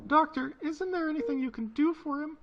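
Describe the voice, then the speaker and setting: A woman's voice: a little high, steady tone, a little slow, noisy background. An actress playing a devoted, emotional woman pleading for her partner in a video game melodrama. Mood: distraught, pleading. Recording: muffled game audio with music.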